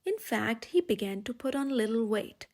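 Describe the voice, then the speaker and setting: A woman narrates a children's picture book in a high, mellow voice. Her phrasing is expressive and deliberate, in the manner of a storyteller reading aloud.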